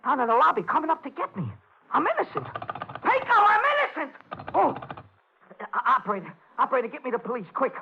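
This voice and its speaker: A man's voice: extremely high pitch, lively intonation, rapid-fire, hardly any pauses. An actor playing a nervous, stammering, hapless American man mixed up with gangsters in an old-time radio comedy. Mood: panicked, pleading. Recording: muffled old radio recording with hiss.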